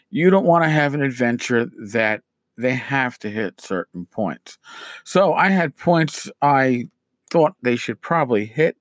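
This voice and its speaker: A middle-aged man hosting a casual solo podcast, his voice scratchy as he loses it, rambling with many pauses and restarts. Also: medium pitch, no echo. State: losing his voice, scratchy throat. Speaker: podcast host.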